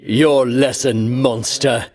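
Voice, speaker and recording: An actor playing a pompous, self-righteous Greek champion in a video game, declaiming in grandiose archaic phrases with theatrical scorn. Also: male voice, medium pitch, fairly even intonation, slow pace, a little mellow, lightly acted. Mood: haughty, scornful. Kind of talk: video game character voice acting.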